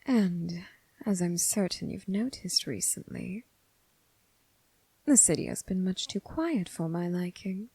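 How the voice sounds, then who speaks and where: A dangerous, seductive lady mob boss voiced by a woman murmurs close and dry into the mic. Her voice is dark and husky, often sinking toward a whisper. She teases with formal, deliberate phrasing, predatory and coolly amused, in an intimate ASMR roleplay. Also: lowish voice, dry recording, full character voice. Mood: amused, businesslike. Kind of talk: ASMR roleplay, scripted character acting.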